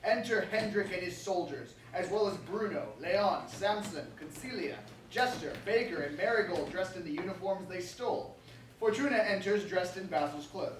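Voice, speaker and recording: A man reading stage directions as scripted narration at a staged reading. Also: sits high. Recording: roomy, distant mic, faint noise.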